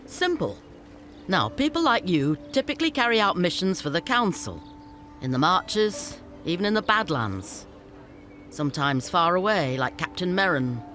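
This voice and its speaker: An actress playing a shrewd female scavenger leader in a fantasy game, brisk and businesslike with a teasing edge. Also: on the low side, big pitch swings, a bit bright, slight background noise. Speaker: game character. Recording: game audio with faint music.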